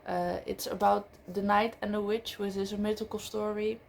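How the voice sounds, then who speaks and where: A woman's voice: medium pitch, flat delivery, moderate pace, vocal fry, slight background noise. A woman who speaks English as a second language, reviewing books in a casual, chatty way with frequent fillers and self-corrections. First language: not English. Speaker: book vlogger. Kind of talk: casual book review chat.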